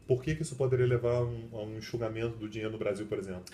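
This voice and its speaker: A man's voice: on the low side, steady tone, mellow, a little hiss or hum. A man, a professor co-hosting a casual chat, joking and informal. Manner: joking, informal, rapid banter. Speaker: co-host, professor.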